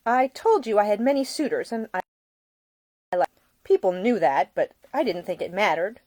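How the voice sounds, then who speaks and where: A woman's voice: medium pitch, lively intonation, moderate pace, darkish tone, no echo, studio quality, pitched above the performer's own voice, natural voice. A woman voicing an outspoken, slightly wounded young Victorian lady in a storybook reading. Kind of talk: acted audiobook dialogue.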